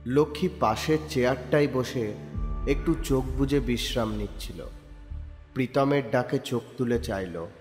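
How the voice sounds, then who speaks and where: A man tells a crime story in a steady narrating voice of middle pitch. A long reverb trails his words, with music beneath. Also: moderate pace.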